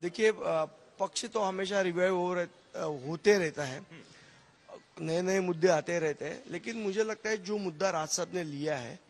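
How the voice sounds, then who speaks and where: A man speaking as a party politician, answering press questions. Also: sits high.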